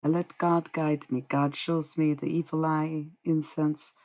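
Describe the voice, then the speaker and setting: Gravelly, low-voiced young woman streamer. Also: flat delivery, measured pace, darkish tone. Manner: rambling, dreamy, swears freely. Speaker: livestreamer. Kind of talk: casual livestream chat with viewers.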